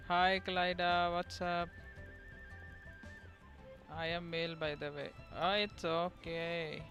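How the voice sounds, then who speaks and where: A man's voice: high-pitched, level tone, takes it slowly, sharp tone. A man with an Indian accent chatting casually and informally as he livestreams a game. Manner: informal, slangy, switches between English and Hindi. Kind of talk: casual chat commentary over gameplay. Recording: stream mic over game music. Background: game music.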